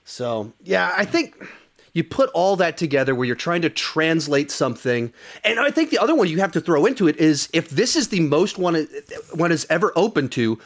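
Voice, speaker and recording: A man hosting a podcast, talking casually about films in a conversational, moderating manner. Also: on the high side. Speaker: podcast host. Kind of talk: casual film discussion.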